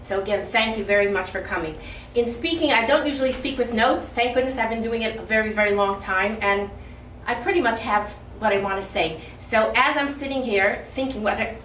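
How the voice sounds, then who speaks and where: A woman from Detroit giving a lively, humorous speech at a community event, heard from far off in a hall. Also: medium pitch. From Detroit, Michigan. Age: older. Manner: lively, humorous, speaks from the heart. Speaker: guest speaker. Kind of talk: public speech. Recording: echoey hall from far off, faint noise.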